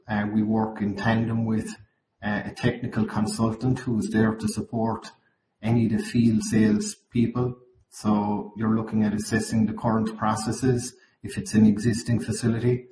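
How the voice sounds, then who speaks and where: Irish male webinar presenter, slightly croaky, monotone, recorded in an echoey space with faint noise. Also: lowish voice, moderate pace. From Ireland. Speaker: account manager presenting at a webinar. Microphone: video call. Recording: roomy, noisy webinar call audio.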